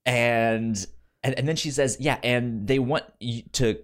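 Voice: medium pitch, steady tone, a little slow. A podcast co-host with a stutter, repeating sounds and words while telling an informal story in casual chat and acting out the dialogue.

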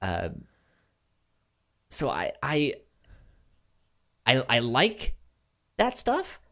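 A podcast co-host chatting casually about a show, often repeating words and restarting sentences in a halting way. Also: medium pitch, wildly swooping pitch, pushed and bright. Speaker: podcast co-host reviewing anime. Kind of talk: casual chat.

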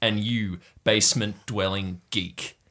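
Scheming camp girl, acted by a male game master: intense, bossy, menacing, forceful delivery for a tabletop adventure. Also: fairly low pitch, steady tone, slow, exaggerated voice. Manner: commanding, clipped, menacing insistence.

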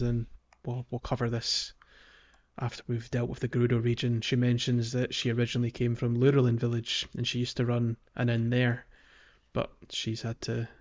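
A man talks through a video game area on a podcast, easygoing and chatty, stopping for ums and little laughs. His voice sits at an ordinary middle pitch. The audio sounds a bit muffled and lo-fi, like a home podcast mic. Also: a little mellow. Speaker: podcast co-host. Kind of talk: casual gaming podcast chat.

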